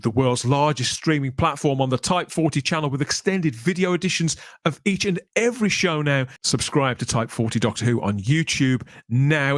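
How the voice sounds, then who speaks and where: A man hosts a podcast with chatty, colloquial presenter patter. His voice sits a little high for a man, and it is recorded close and dry, with very little room sound. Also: no room sound. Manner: wordy, colloquial, radio-presenter patter with tag questions. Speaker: podcast host.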